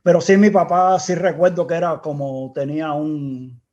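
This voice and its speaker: Man, cuban accent, slightly high voice, muffled lo-fi recording, rambling reflective storyteller. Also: little pitch movement, normal speed, a little mellow. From Cuba. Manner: reflective, rambling with repeated words and restarts. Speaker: interview guest, engineer. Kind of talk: casual storytelling interview. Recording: dull lo-fi sound.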